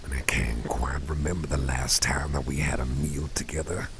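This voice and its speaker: A man playing a caring, easygoing boss in an ASMR roleplay, murmuring softly and breathily in a rambling, reassuring way, close to the microphone. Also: extremely low pitch, fairly even intonation, normal speed, a little hiss or hum. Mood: soothing, attentive. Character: caring, easygoing male boss, gently soothing and chatty. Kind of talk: ASMR roleplay, soft personal-attention talk.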